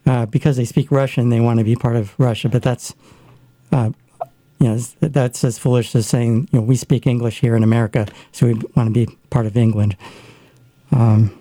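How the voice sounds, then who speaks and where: A man in a casual discussion, talking haltingly with many fillers and restarts. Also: mid-range voice, fairly even intonation, dark tone, no room sound. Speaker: guest discussing Ukraine.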